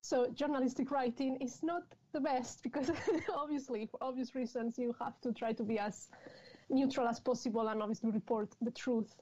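A woman's voice: a little high, steady tone, moderate pace, dark tone, slight background noise. A woman in her thirties from Spain with a Spanish accent, speaking English as a second language and chatting frankly as a guest author in an interview. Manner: laughs often, frank and chatty. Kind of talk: conversational interview. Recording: dull lo-fi sound.

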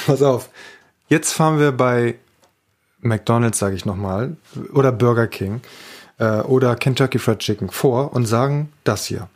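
A man's voice: medium pitch, a little slow. A man co-hosting a podcast, chatting casually and playfully and laughing easily. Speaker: podcast co-host. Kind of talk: casual joking chat.

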